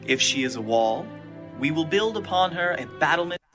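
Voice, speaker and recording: A man's voice: fairly high pitch, expressive, moderate pace, sharp tone, faint music behind. A man playing a watchful brother, declaiming formally and poetically in a dramatised Bible reading. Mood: solemn. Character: watchful brother speaking in ancient love poetry.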